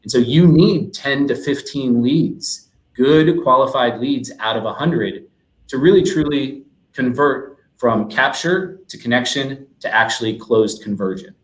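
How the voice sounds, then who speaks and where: A man with a mid-pitched voice gives an explanatory talk as a webinar guest. His manner is businesslike. The recording has a noticeable roomy echo. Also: unhurried. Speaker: guest speaker. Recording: roomy, echoey sound.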